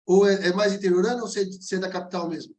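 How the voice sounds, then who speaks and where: A man's voice: sits high, steady tone, rapid-fire, a little mellow. A man hosting a podcast in a joking, teasing way. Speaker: podcast host. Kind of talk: casual podcast talk.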